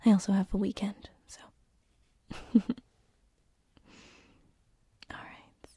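A woman plays a tender succubus who haunts bedrooms and is shyly in love. She whispers slowly and very breathily, hesitating softly. The sound is dry and intimate, an ASMR roleplay on a binaural mic. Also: medium pitch, level tone, dry recording. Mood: playful, affectionate. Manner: soft, hesitant, tender, with breathy giggles and sighs. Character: gentle lovesick female sleep paralysis demon, succubus, shyly affectionate. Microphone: close binaural microphone.

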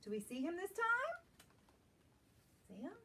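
A woman reads a picture book to young children, engaging them directly. Her voice is high and lilting, with an unhurried pace. The mic sits some way off in the room. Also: huge pitch swings, sharp tone. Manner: slow, questioning asides to young listeners. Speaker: library storytime reader. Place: small indoor room lined with bookshelves. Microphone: camera a few metres away. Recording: distant camera mic in a room.

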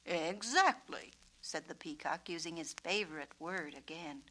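A woman reading a children's storybook as narrator, with a measured, expressive delivery. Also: deep voice. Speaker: author and narrator.

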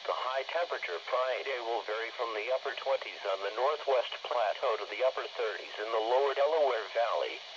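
A computerized male announcer reads forecasts formally and evenly measured, at a medium pitch. The voice comes out of a small weather radio speaker. Clear noise runs through the recording. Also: dull audio. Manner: measured, formal, synthetic delivery. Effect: synthetic text-to-speech voice played through a small radio speaker. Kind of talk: scripted weather forecast reading. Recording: noisy camera capture of radio speaker. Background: clear background noise.

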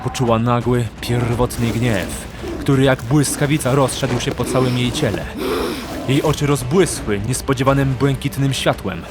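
Male narrator for fantasy audio drama: medium pitch, dramatic scripted reading, prominent music underneath. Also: normal speed.

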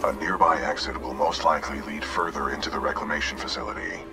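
An actor playing a loyal, literal-minded giant robot companion in a video game, with a synthetic voice and a measured, formal delivery. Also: male voice, very deep voice, fairly even intonation. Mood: calm advisory. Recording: loud game music behind.

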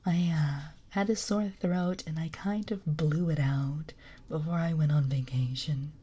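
A woman with a very breathy, husky whisper that sits deep and raspy. She speaks slowly and gently in a close ASMR stream, giggling often between lines. Her tone is soft and mellow. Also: fairly even intonation.

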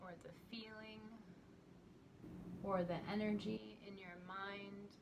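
A woman leading a guided meditation in a soothing, gentle manner, leaving long pauses between short phrases. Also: medium pitch, level tone. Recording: clearly noisy recording with ambient sound.

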